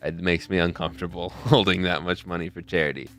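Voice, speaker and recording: Deep-voiced male streamer, rambling. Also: steady tone, fast, a bit bright, soft background music. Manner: rambling, loses his train of thought. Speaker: streamer hosting a charity stream. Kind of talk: casual live-stream chat. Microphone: desk mic close to a face camera.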